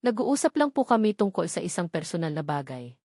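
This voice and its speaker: A woman's voice: lowish voice, sing-song, brisk, warm tone, dry recording. Woman voicing an earnest, desperate man, dry sound. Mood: guarded, polite. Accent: Filipino. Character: earnest, desperate man revealing painful family secrets.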